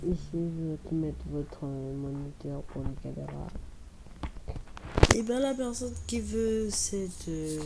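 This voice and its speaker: Slow low-voiced woman with a cold. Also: background noise. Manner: slow, repeats words while building. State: has a cold, stuffy voice. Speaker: Minecraft YouTuber. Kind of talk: casual gameplay commentary.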